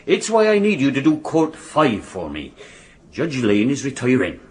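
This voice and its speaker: Radio drama lawyer: nervous, evasive, stammering, very husky, pitch jumping about wildly. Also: male voice, wildly swooping pitch, moderate pace. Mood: flustered, evasive. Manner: flustered, stammering, repeats himself. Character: nervous, evasive male legal colleague.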